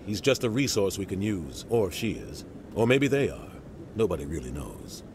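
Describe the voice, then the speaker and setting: A man's voice: low voice, big pitch swings, normal speed, noisy background. A man playing a middle-aged navy captain in a video game, grave, embittered and emphatic. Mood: matter-of-fact. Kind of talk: video game cutscene acting. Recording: music behind game dialogue.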